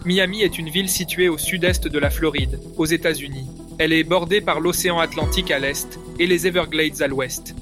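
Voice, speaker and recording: A man reads a scripted fact segment in an even, smooth delivery. His voice is somewhat high for a man, and clear music runs underneath it. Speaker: segment presenter.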